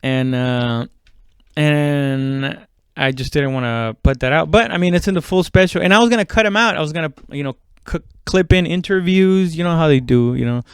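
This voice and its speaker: Male comic podcaster. Smooth, clean voice, dry close sound, informal halting solo ramble with loose swearing. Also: on the high side, dry recording. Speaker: stand-up comedian hosting own podcast. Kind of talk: casual rambling solo chat.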